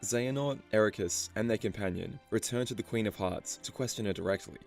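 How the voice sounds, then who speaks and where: A man reading scripted story explainer narration in a measured, storytelling delivery. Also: lowish voice, gravelly. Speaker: video narrator. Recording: music behind the voice. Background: background music.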